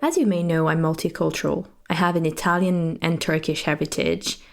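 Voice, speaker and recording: A woman in her thirties, from France, hosts a podcast in a reflective, earnest monologue. Her voice sits low for a woman. She often fills her sentences with "you know" and "um" as she thinks aloud. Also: fairly even intonation, fairly quick, over music. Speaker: podcast host.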